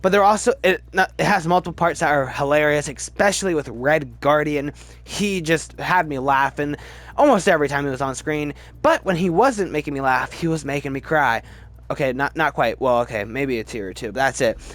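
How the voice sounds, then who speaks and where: Chatty higher-pitched man ranking movies. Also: a bit bright. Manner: chatty, halting, restarts sentences mid-word. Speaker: movie review video host. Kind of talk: casual ranked-list movie commentary.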